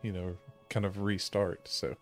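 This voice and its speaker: A man playing a tabletop game, casually explaining things in a halting way as he searches for words. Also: low voice, little pitch movement, soft background music. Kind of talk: casual chat, explaining character lore.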